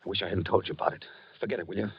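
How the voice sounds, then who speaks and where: An actor in an old-time radio drama playing a tormented, obsessive husband who talks haltingly and repeats himself. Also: male voice, low-pitched, steady tone, vocal fry, husky, no room sound, heavily acted. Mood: tense, haunted. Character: tormented husband haunted by dreams of a duel. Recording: muffled vintage radio recording, dry.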